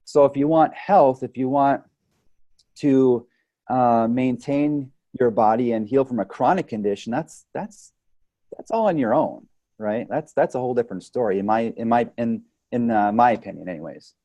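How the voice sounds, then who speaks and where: A man's voice: mid-range voice, moderate pace, mellow, no room sound, muffled recording. A man speaking conversationally as an interview guest, explaining things through analogies and often checking in with "right?". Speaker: chiropractic or holistic health practitioner, podcast guest.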